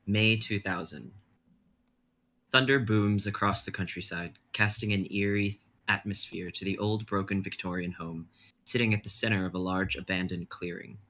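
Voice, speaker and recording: Young guy reading stage directions, very low bright voice, faint hiss. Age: young adult.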